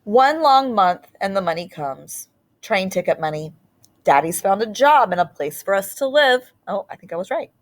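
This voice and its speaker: A woman storyteller reading a picture book aloud expressively, in short deliberate phrases with dramatic pauses and the odd aside. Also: mid-range voice, lively intonation.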